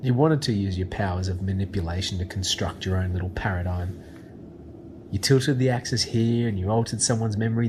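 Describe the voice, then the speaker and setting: An actor plays a thoughtful everyman hero in an audio drama. His voice is fairly low, earnest and a little hesitant, over clearly audible background noise. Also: close to an everyday voice. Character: earnest, thoughtful ordinary man facing strange worlds. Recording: noisy ambience behind the voice.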